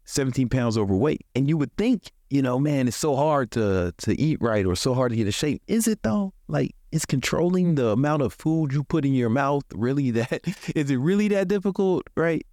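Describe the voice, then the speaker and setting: A man's voice: mid-range voice, animated, moderate pace, few pauses, darkish tone, dry recording, studio quality. An American man in his forties hosting a podcast, giving casual motivational talk in colloquial, profane language. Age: 40s. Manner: colloquial, profane, chuckles often. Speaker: podcast host.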